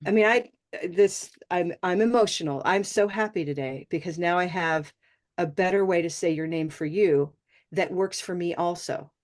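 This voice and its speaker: A woman's voice: fairly low pitch, normal speed. Lady teacher on a video call, upbeat and encouraging, laughs a lot as she explains. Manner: warm, encouraging, laughs often. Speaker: English pronunciation teacher. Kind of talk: online class teaching.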